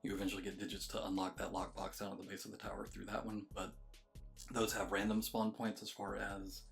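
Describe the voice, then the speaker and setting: A man's voice: fairly low pitch, monotone, fairly quick, creaky, faint music behind. A man giving a casual, matter-of-fact video game walkthrough, explaining things step by step. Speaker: game guide creator.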